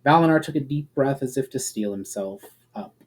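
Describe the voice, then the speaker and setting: A man narrating a fantasy story as an expressive, dramatic reader. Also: mid-range voice, moderate pace, husky. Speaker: narrator and author.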